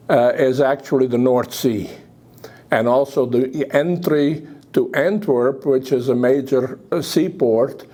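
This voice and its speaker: An older man with a Belgian accent giving a public talk about his memories, speaking haltingly with pauses. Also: mid-range voice, dark tone. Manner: halting, hesitant delivery with pauses. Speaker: guest speaker.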